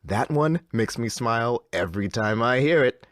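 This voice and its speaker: An actor playing a relentlessly cheerful, slightly unsettling radio DJ, with theatrical and reassuring retro announcer patter. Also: male voice, medium pitch, expressive, moderate pace, loud music behind the voice, lightly acted. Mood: upbeat, reassuring.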